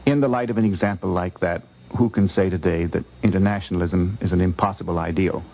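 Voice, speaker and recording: A man's voice: low-pitched, fast talker. A man speaking in a formal oratorical style with rhetorical, declamatory phrasing. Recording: dull 1950s radio recording.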